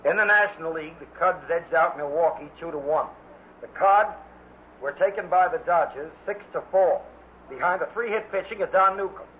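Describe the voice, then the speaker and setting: A man's voice: on the high side, moderate pace, roomy sound, lightly acted. A man playing a flustered, hot-tempered sports announcer in mid-century radio style. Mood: brisk, formal. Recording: dull, noisy old radio recording.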